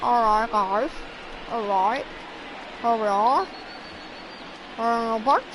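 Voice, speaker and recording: A woman's voice: fairly high pitch, very slow. A woman chatting casually and playfully over a baseball video game she is playing, teasing and trash-talking. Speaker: game player. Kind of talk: casual live-stream chatter. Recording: noisy game stream audio.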